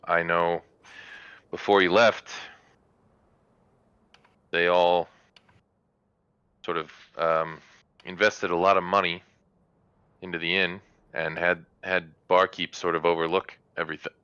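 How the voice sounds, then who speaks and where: A man voicing a hospitable, harried small-town mother in a tabletop game, candid, with halting, conversational phrasing. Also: low voice, little pitch movement, bright, forceful tone, clear, resonant tone, dry recording, muffled recording, heavily acted. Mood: candid, somber.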